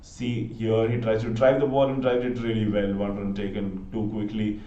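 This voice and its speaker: Indian man's excitable live cricket commentary, echoey. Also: lowish voice, moderate pace. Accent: Indian English. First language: Hindi. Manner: chatty, pleading for subscriptions, sudden excited exclamations. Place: home room, bundled up against the cold. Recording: echoey room, distant mic, dull lo-fi sound.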